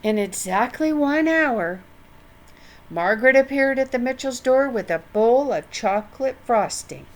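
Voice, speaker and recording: Narrator: older woman, unhurried and fairly high, expressive read-aloud for young children. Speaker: storyteller reading a children's book. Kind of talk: scripted story narration.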